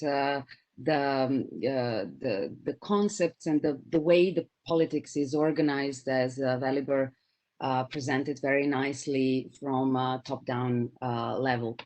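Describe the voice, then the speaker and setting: A woman from Serbia, a researcher, giving a panel talk and pausing often on fillers. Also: sits low, a little mellow. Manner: hesitant, many fillers.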